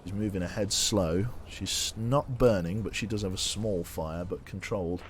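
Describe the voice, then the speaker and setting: A man with a voice going hoarse, chatting casually while streaming a submarine game and giving orders to his crew. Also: sits low, moderate pace, a little hiss or hum. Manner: chatty, greets viewers, gives crew orders. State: losing his voice. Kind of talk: casual live gameplay commentary.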